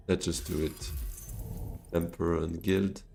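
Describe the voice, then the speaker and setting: A man's voice: sits low, flat delivery, unhurried, vocal fry, darkish tone, slight background noise. A Swiss man, streaming a board game live and thinking his moves through aloud to himself and his chat. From Switzerland. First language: French.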